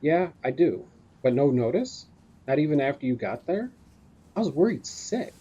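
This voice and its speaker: A man playing a caring, earnest friend and coworker in an audio drama, speaking gently. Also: on the high side, big pitch swings, normal speed, slightly rough. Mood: worried. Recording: dull, muffled recording.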